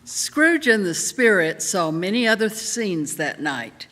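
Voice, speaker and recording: A woman narrating a story dramatically, with deliberate pauses. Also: mid-range voice, very animated, fairly bright tone. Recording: reverberant hall.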